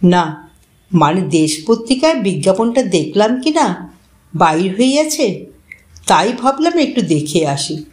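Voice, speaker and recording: A woman's voice: medium pitch, unhurried, pauses often. A woman voicing an eager, bashful young male first-time novelist in an audio story, humble and hesitant. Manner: humble, hesitant, inwardly excitable.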